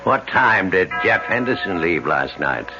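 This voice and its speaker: A muffled vintage radio drama recording of a stern American father, very low and gravelly. He is pompous and self-pitying, scolding in curt bursts that swing widely in pitch. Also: very animated, unhurried, music in the background. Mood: stern, indignant. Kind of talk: 1970s radio drama acting. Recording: muffled, noisy old radio broadcast recording.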